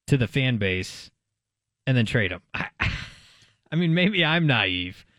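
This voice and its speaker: Male sports talk co-host, medium pitch, conversational, reasons aloud with some hesitation, dry studio recording. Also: sing-song, takes it slowly, fairly bright tone, dry recording.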